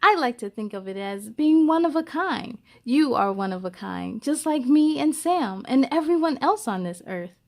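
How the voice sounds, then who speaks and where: Woman, high voice, children's story-time host, expressive read-aloud, encouraging, talks directly to kids. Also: normal speed, vocal fry, hardly any pauses. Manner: encouraging, speaks directly to young listeners.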